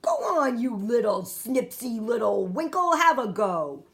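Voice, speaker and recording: A woman voicing a very old, friendly male giant in a children's storybook, indignant and grand, with muddled grammar and emphatic drawn-out words. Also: a little high, very animated, gravelly, fairly bright tone, voice pushed up, full character voice. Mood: disgusted, insistent.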